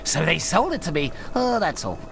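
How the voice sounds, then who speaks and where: A man playing a scheming, irritable, haughty middle-aged evil wizard with a gravelly voice in a video game cutscene. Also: on the high side, sing-song, normal speed. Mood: evasive. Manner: evasive, haughty, theatrical.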